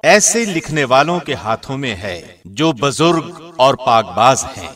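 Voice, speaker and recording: A man reading a scripture translation as a scripted narration, in a measured, formal way. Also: mid-range voice, sing-song, a bit bright, soft background music. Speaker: translation narrator, radio host.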